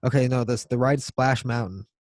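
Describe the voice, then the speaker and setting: A man's voice: mid-range voice, normal speed, dry recording, studio quality. A man co-hosting a podcast in casual, joking chat, slangy and swearing now and then. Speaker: podcast co-host.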